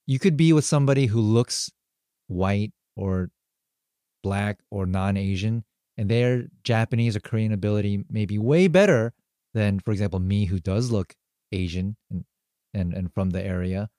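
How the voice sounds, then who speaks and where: A man's voice: fairly low pitch, mellow, no echo, studio quality. A man co-hosting a casual discussion, speaking reflectively about experiences abroad. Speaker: podcast co-host. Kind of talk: casual chat.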